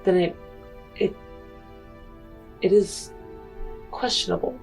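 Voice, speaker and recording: A woman playing a tabletop roleplaying game, speaking in character in a deliberate, earnest, questioning way. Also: mid-range voice, creaky, darkish tone, soft background music.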